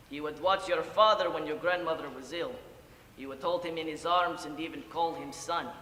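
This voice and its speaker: An old grandfather, acted by a man, weighed down by guilt and drink, speaks in a high, bright voice. His is halting and broken, broken up by sighs. It is a stage monologue in a reverberant hall with some noise. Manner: halting broken English with sighs and murmured asides. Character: old Ukrainian grandfather, boozy, guilt-ridden, confessing to grandson. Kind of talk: competitive dramatic monologue. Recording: echoey hall, distant, audible room noise.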